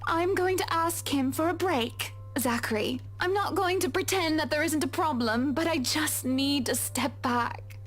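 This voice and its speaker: A high-pitched, refined young wife. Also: female voice, soft background music. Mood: wry. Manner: measured, refined speech breaking into swearing. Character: troubled young wealthy wife, proper but fed up. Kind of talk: game voice acting.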